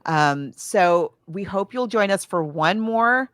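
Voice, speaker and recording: A woman's voice: mid-range voice, animated, takes it slowly, clear, resonant tone, no room sound, studio quality. A woman co-hosting a podcast, chatting casually and with gushing enthusiasm about films and books. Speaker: podcast co-host. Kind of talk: casual film and book discussion.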